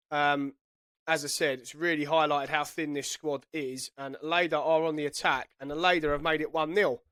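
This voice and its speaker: A man's voice: a little high, bright, forceful tone, clean tone, dry recording. A man with a head cold giving chatty, self-correcting commentary while playing a football management game. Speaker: gaming YouTuber. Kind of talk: casual gameplay commentary.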